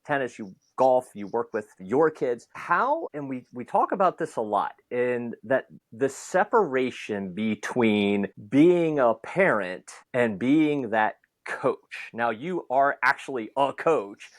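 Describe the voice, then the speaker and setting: A man's voice: medium pitch, very animated, takes it slowly, hardly any pauses, no room sound. A man hosting a podcast, talking conversationally and building long, reflective questions. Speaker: podcast host. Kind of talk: conversational interview.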